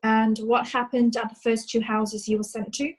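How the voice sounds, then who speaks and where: A woman playing a brisk, formal lawyer questioning witnesses in a comic courtroom play. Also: a little high, flat delivery, soft background music, lightly acted, poor audio. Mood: formal, probing. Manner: formal, inquisitive.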